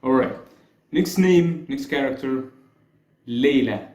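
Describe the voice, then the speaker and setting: Slow-talking young Egyptian man, echoey room. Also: mid-range voice, darkish tone. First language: Arabic. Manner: chatty, hedging, gestures a lot with his hands. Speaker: YouTuber. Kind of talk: casual explainer vlog. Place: small room with blue walls, indoors.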